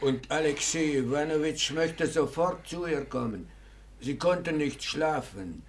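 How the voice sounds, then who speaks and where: A man's voice: a little high, a little slow, slight background noise. A man playing a deferential, dutiful manservant in a radio drama, reporting plainly and respectfully.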